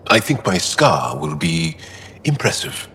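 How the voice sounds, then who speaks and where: An actor playing an earnest, guarded male alien fighter in a video game, speaking in deliberate, formal phrases. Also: deep voice, sing-song, a bit bright, slight background noise, exaggerated voice. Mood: warm, amused. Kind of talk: video game dialogue acting.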